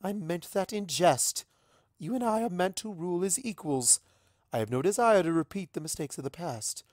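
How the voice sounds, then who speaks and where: Man voicing a regal, playful pony princess, raised pitch. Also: expressive, moderate pace, darkish tone, dry recording, studio quality. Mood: regal, amused. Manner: grand, formal, theatrical.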